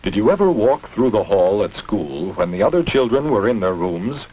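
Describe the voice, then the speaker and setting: Male narrator, low and very animated, 1950s school-film style, instructive questions to children, dull noisy soundtrack. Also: normal speed, soft background music. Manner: instructive, simple phrasing with leading questions.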